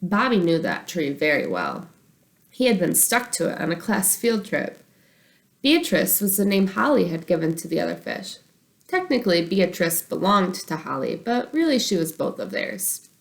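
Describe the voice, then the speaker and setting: Slightly low-voiced woman reading a storybook. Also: big pitch swings, moderate pace, creaky. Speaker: storybook reader. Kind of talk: expressive scripted narration of a children's book.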